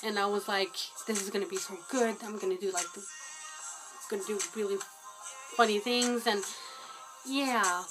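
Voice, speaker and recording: A woman's voice: medium pitch, fairly even intonation, moderate pace, long pauses, music in the background, poor audio. Rambling self-deprecating young woman vlogging. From Albania. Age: 20s. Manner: rambling, self-deprecating, many fillers and restarts. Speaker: guest vlogger. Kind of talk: unscripted rambling vlog. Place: small dim room indoors. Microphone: webcam at close range.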